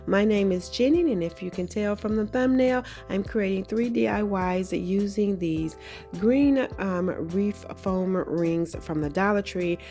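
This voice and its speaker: A woman hosting a craft tutorial, slightly hoarse from a cold, talking in a friendly, chatty way with frequent ums. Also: medium pitch, unhurried, warm tone, soft background music. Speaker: craft channel host. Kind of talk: casual craft tutorial narration.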